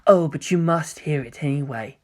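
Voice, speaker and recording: A man voicing a sly young angel-hybrid villain in a story, gentle, coy and theatrically musing. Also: on the high side, moderate pace, close to an everyday voice. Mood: gently mocking.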